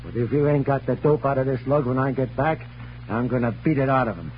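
An actor playing a hot-headed, thuggish American gangster with street slang, in 1940s radio crime drama style. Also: male voice, mid-range voice, fast, natural voice. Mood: threatening. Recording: muffled, noisy old radio recording with hum.

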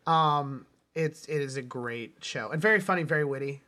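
Guy co-hosting a podcast, bright forward voice, just chatting movies and tv. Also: a little high, normal speed. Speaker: podcast co-host. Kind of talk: casual film and TV chat.

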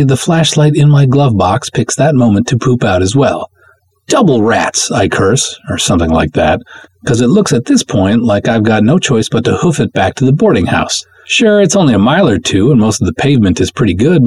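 A man's voice: mid-range voice, husky. A man voicing a plucky, jittery young woman who recounts a spooky night in slangy, old-fashioned storytelling style. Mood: wry, exasperated. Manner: animated, slangy, breezy storytelling. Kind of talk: dramatic first-person story reading with 1950s slang.

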